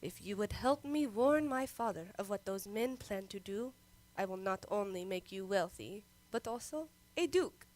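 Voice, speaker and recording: A woman's voice: medium pitch, expressive, normal speed, darkish tone, close to an everyday voice, rough recording. A woman playing a wily, haughty young princess in an amateur radio play, imperious and persuasive. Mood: coaxing.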